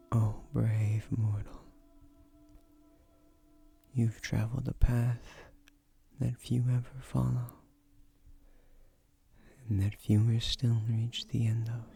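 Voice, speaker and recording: A man playing an ancient magical guardian of a tree in a fantasy audio roleplay, soft-spoken, wry and gently formal, with measured delivery. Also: lowish voice, creaky. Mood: wry, welcoming. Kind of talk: slow soothing fantasy audio roleplay, scripted.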